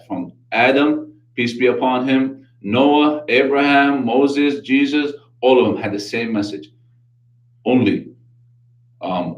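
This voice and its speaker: A man's voice: medium pitch, echoey room, poor audio. A man with a New York accent, raised in Brooklyn, hosting a casual religious talk show and explaining his faith earnestly with many Arabic religious phrases. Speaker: radio show host.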